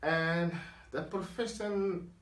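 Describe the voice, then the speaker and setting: A man's voice: high-pitched, takes it slowly, a bit bright. Afrikaans-speaking man, echoey distant mic. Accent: South African. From South Africa. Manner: earnest, reflective storytelling. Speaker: guest. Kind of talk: conversational life story.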